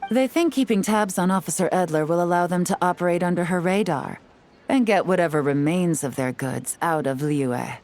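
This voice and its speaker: An actress playing a shrewd, composed woman intelligence agent in a video game, measured, knowing and self-assured. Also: lowish voice, darkish tone, natural voice. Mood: confident, scheming.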